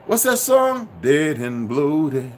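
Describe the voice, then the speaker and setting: A man's voice: fairly high pitch, big pitch swings, slow, slight background noise. Profane preachy man ranting to viewers. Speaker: commentator.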